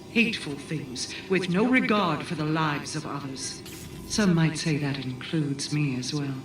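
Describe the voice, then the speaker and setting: A woman playing a proud, grave queen of an otherworldly people in a video game, speaking in a measured, solemn, regal manner. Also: low voice. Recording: echoing, distant, music behind.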